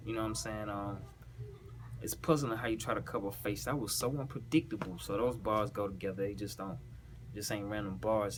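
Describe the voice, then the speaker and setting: Low-voiced Southern man over background noise. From South Carolina, US. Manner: slangy, repeatedly checking the listener follows. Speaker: battle rapper breaking down their own bars. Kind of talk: casual explanatory chat with recited rap bars.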